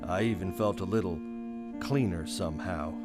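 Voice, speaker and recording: An actor playing a weary, resolute Old West marshal in a radio western. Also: male voice, low-pitched, normal speed, over loud music, close to an everyday voice. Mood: reflective, relieved. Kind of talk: radio western acting and first-person narration.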